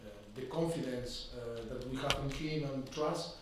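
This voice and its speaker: Halting football manager, echoey room. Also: male voice, mid-range voice, background noise. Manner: measured, halting second-language English with many fillers. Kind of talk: post-match press conference. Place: press conference room. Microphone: desk microphone. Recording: echoey room, mic at a distance.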